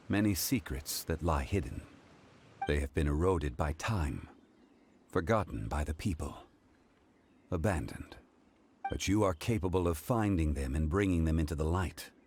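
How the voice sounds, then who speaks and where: A man voicing an ancient, dignified male god who speaks in a measured, formal, grave way. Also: sits low, darkish tone, a little hiss or hum.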